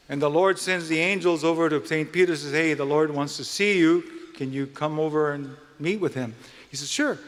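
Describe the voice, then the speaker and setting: Guy with hawaiian accent hosting some event, kinda high voice, big echoey hall, folksy n a bit halting. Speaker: event host and speaker. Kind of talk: live welcome speech with jokes and introductions. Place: large indoor sports venue, addressing guests. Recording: long hall reverb from venue PA.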